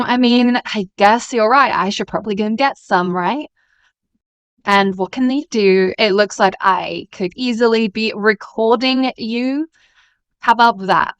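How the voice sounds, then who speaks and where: A woman's voice: on the high side, measured pace, clean tone, dry recording, lightly acted. A woman playing a smug, taunting rival schoolgirl in a voiced drama series, with a sardonic, drawling delivery. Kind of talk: voice-acted series dialogue.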